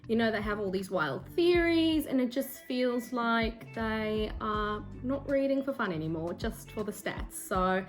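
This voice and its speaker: A woman's voice: on the high side, expressive, a little slow, hardly any pauses, faint music behind. A young woman from South Africa with a South African accent, her first language Afrikaans, chatting casually and self-deprecatingly to camera as a book vlogger, with frequent ums. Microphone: phone or camera mic at desk.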